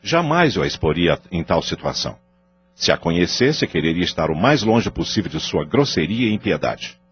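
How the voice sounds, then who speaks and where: Male actor, contrite Brazilian family man, low-pitched, animated intonation, pleading, audio drama, muffled lo-fi recording. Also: normal speed, slight background noise. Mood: pleading, ashamed. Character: contrite, earnest husband and father, pleading with his wife.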